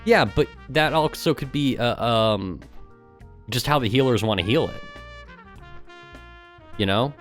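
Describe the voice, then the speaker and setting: A man's voice: medium pitch, unhurried, long pauses, fairly bright tone, faint music behind. A man doing casual, mocking livestream commentary, sometimes reading aloud in a sarcastic way. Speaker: streamer and gamer.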